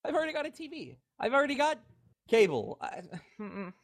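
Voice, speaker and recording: A man co-hosting a podcast, talking casually and giving his opinions in an animated, skeptical, sarcastic way. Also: extremely high pitch, a little fast. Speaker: podcast co-host.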